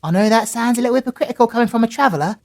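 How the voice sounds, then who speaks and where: A woman's voice: on the high side, rapid-fire, natural voice. A woman voicing a chatty, breezy wandering traveller in a fantasy audio drama. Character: cheerful wandering traveller with a horse.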